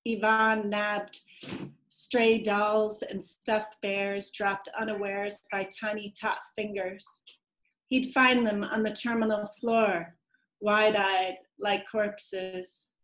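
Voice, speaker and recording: Woman, author reading aloud, flat delivery, slow, soft and measured, video call. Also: medium pitch, rough recording. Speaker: author reading her work.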